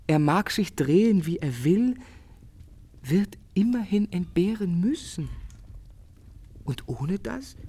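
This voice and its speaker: An actor playing a world-weary, melancholy artist in a literary radio drama, speaking eloquently and earnestly with theatrical phrasing. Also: male voice, fairly high pitch, warm tone, full character voice. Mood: indignant.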